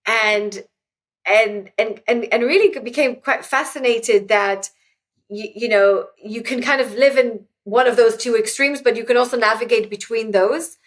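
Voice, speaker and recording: A woman's voice: fairly high pitch, unhurried. A middle-aged woman whose first language is Hebrew, talking earnestly as an interview guest and often restarting her sentences. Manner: earnest, many restarts and fillers. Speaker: guest, author. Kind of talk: reflective interview talk.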